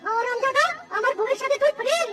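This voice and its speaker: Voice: very high voice, fast, roomy sound, distant mic, loud music behind the voice. An actor voicing a jealous, blustering cartoon husband who threatens and insults. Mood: furious. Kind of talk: cartoon voice acting.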